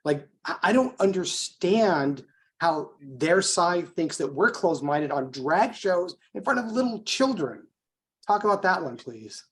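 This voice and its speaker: A man's voice: high-pitched, very animated, measured pace. An American man hosting a conversational political interview, talking informally and working his way round to his questions.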